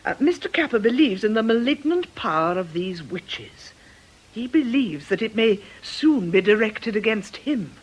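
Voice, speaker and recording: Fretful deaconess, lively, husky voice. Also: female voice, fairly high pitch, normal speed, poor audio. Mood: earnest concern. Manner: hesitant, proper, apologetic. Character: earnest, fretful church deaconess, polite and hesitant. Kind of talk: radio drama acting.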